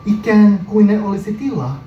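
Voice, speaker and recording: A man reading his own poem at a live indoor performance, deliberately and in short phrases with frequent pauses. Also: sits high, animated, echoey room. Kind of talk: poetry recital, performance reading. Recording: muffled, noisy live recording from far off.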